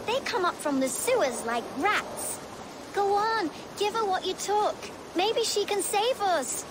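A woman's voice: extremely high pitch, animated, measured pace. An actress playing a cheeky, scrappy street-urchin girl in a game cutscene, brash and taunting. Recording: voice over noisy game ambience.

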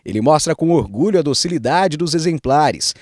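A man's voice: a little high, normal speed, no echo, studio quality. A young man reporting the news outdoors at a cattle fair, holding a handheld mic. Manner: lively, clear reporter delivery. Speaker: field reporter.

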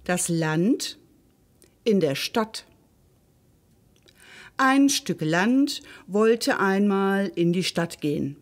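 A woman's voice: mid-range voice, animated, extremely slow, pauses often. A woman hosting and reading a scripted children's story expressively, speaking directly to her listeners. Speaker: storyteller and host.

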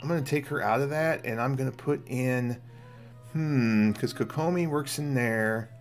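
A middle-aged man giving casual gameplay commentary, thinking aloud in a gravelly voice. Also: mid-range voice, moderate pace. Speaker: game streamer. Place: small indoor room at home. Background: faint game music.

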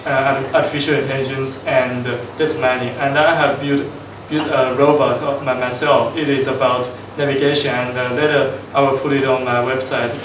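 A male undergraduate student introducing himself to a class, halting and repeating words. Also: medium pitch, background noise. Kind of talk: self-introduction. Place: large classroom. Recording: echoey hall from far off.

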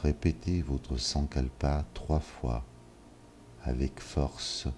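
A man leading a yoga nidra session, giving calm, measured instructions with long pauses. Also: a bass voice, a little hiss or hum. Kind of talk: slow guided relaxation. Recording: soft music behind. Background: soft ambient music.

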